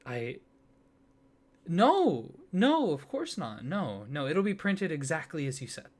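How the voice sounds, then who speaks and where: A man doing a soft-spoken ASMR roleplay, hesitant and flustered, with many fillers, restarts and awkward apologies. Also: fairly high pitch, sing-song, slow pace.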